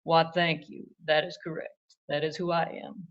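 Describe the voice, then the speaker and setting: A woman's voice: low voice, level tone, normal speed, voice pushed down, lightly acted. Woman putting on a grandiose male puppet critic, slightly muffled. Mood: pompous, admiring. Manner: grandiose, dramatic, with repeated phrases. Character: theatrical, self-important male puppet Broadway critic. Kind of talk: children's puppet show.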